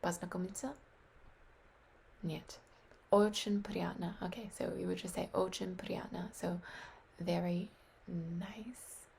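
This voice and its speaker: A woman's voice: on the low side, fairly even intonation, slow pace, vocal fry, long pauses, a little mellow, a little hiss or hum. A woman practicing foreign phrases aloud, often whispering, repeating words and doubting her own pronunciation. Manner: unsure, self-deprecating. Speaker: language learner practicing phrases.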